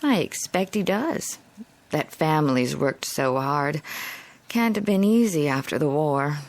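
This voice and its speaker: An actress playing a chatty, hospitable small-town housewife with a genteel, effusive manner in a radio drama. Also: female voice, deep voice, animated, unhurried. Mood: neighborly. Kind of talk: naturalistic radio drama dialogue.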